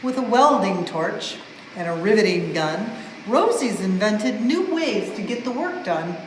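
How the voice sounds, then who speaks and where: A woman reading a rhyming storybook aloud in an expressive, measured way, as for a museum storytime. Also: medium pitch. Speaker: museum staff member reading a children's book aloud. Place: indoors in a museum exhibit space. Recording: very echoey reverberant hall, faint background noise.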